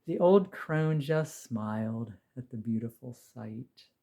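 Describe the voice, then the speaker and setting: A man reading a rhymed story poem aloud as an expressive, measured storyteller. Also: mid-range voice, creaky. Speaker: poetry reader, narrator.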